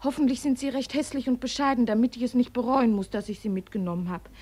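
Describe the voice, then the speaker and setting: A lively, kind young woman in a radio drama. Her voice is high. She coaxes and teases with playful insistence. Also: fast talker, husky. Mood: teasing, tender. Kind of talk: German radio play acting.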